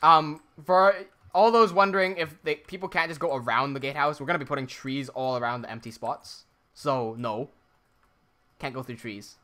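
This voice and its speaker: A man's voice: medium pitch, moderate pace, sharp tone. A young man from the Philippines with an Americanized Filipino accent, chatting casually and rambling while building on a livestream. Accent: American-sounding Filipino. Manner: laid-back, rambling, swears, laughs often. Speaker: streamer and builder.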